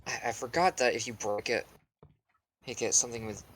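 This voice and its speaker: A man in casual gaming chat, grumbling about the game in a dry, deadpan way. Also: medium pitch, a little fast, a little hiss or hum. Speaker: streamer co-host.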